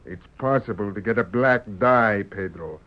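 An actor playing a cunning, confident outlaw leader who plots smugly and deliberately in a radio western. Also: male voice, lowish voice, close to an everyday voice. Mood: smug, scheming. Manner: deliberate, smug, scheming. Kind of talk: old-time radio western acting. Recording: muffled old radio broadcast.

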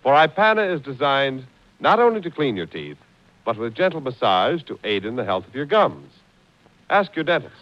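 A male announcer making a 1940s radio sales pitch. His mid-pitched voice rises and falls with lively emphasis. The broadcast sounds old and muffled. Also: moderate pace.

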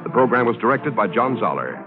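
A male American announcer reads formal program credits in an animated, mid-pitched voice. It is a 1950s radio recording, thin in tone, with music playing loudly behind him. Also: a little fast. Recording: tinny old radio broadcast, loud music. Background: loud music.

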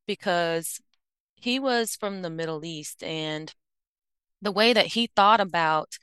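A woman's voice: fairly low pitch, takes it slowly, fairly bright tone, clean tone, no room sound. A woman in her thirties from the United States, an I-O psychologist guest, answering thoughtfully with frequent fillers and self-corrections. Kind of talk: reflective interview answers.

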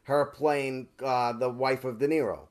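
A man's voice: medium pitch, fairly even intonation, a little slow, darkish tone. A young man with a Brooklyn accent, an actor chatting as a guest with rambling fillers and restarts. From Brooklyn, New York. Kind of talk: casual interview chat.

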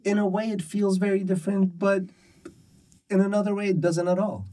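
Man, from Iran, Persian mother tongue, middle-aged, mellow dark tone, thoughtful and hesitant, slightly distant from the mic. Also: sits high, flat delivery, a little fast. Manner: thoughtful, hesitant, with frequent fillers and restarts. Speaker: poet and translator. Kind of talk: conversational interview.

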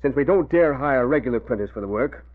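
A man playing a smug, calculating racketeer who speaks in an unhurried way, in 1940s radio acting. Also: mid-range voice, animated, close to an everyday voice. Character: scheming male racketeer, smug and cold. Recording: tinny old radio broadcast.

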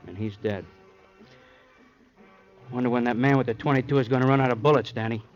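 An actor as wry, chatty radio police sidekick. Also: male voice, medium pitch, fairly even intonation, brisk, music in the background, close to an everyday voice. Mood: grim, musing. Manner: casual, wry, musing. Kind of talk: 1950s radio crime drama acting. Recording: dull old radio recording.

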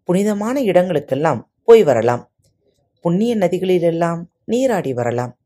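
A woman's voice: on the low side, sing-song, unhurried, mellow. A woman voicing a bossy wealthy male master who scolds his servant, in a storybook reading. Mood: commanding.

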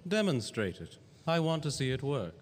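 A man's voice: mid-range voice, big pitch swings, moderate pace, natural voice. An actor playing an urbane, imperious scientist-tycoon in his sixties, theatrical 1940s radio style, formal and commanding. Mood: imperious, probing. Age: 60s. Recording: reverberant hall, faint noise, live recording.

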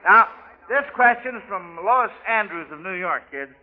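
A man's voice: sits high, expressive, unhurried. An American man hosting an old-time radio children's quiz in a folksy, hesitant, chuckling way, often repeating himself. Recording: narrow-band old radio broadcast.

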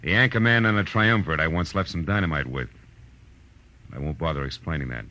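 A hard-boiled American private eye from old radio drama. His voice is very low with a bright, forceful edge. He speaks in clipped, wry, sardonic phrases. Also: fairly quick, muffled recording, a little hiss or hum. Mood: sardonic, cool. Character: tough, wry private detective, a man. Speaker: lead actor and narrator. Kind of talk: 1940s American radio detective drama acting.

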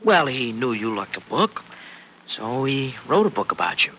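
Folksy uncle, very bright forceful voice, fretful and sardonic, vintage radio drama, noisy old recording. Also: male voice, medium pitch, very animated, moderate pace. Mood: irked, sardonic. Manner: folksy, hesitant, colloquial. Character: Uncle Freddy, gruff-hearted fretful family man, protective and sardonic. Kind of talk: old-time radio serial acting.

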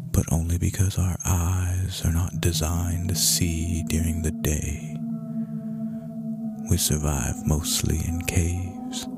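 A world-weary, brooding vampire, acted out by a man narrates a dark horror story. The voice is low and breathy with a creaking rasp, and every phrase comes slowly and dramatically over a loud music bed. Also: full character voice. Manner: slow, brooding, dramatic delivery. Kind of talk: dramatic reading of horror fiction.

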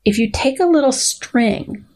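A middle-aged woman speaks as a guest scientist on a show. Her phrasing is precise and deliberate, with a playful edge. She moves between formal reading aloud and plain conversational explanation. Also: sits high, lively intonation, a little mellow.

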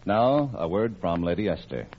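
A man's voice: deep voice, sing-song, normal speed, slight background noise. A man announcing and narrating a radio play from a script in 1940s style. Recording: muffled vintage radio recording with music.

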